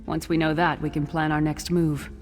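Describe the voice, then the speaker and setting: An actress playing a battle-worn, earnest female warrior companion in a fantasy video game, telling things gravely. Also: low-pitched, little pitch movement, moderate pace, a little mellow, soft background music, close to an everyday voice. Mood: resolved. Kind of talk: video game character dialogue.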